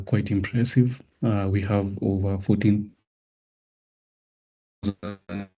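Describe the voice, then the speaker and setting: A man's voice: lowish voice, mellow. A man working as a sales engineer, giving a technical sales presentation on an online call with occasional fillers. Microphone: online meeting audio.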